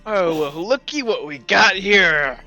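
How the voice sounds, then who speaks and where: A man's voice: sits high, lively intonation, takes it slowly, bright, forceful tone, no room sound, soft background music, exaggerated voice. A man voicing a nosy, mock-friendly town guard who needles people in a tabletop game. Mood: smug needling. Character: smug, nosy male town guard, chummy menace.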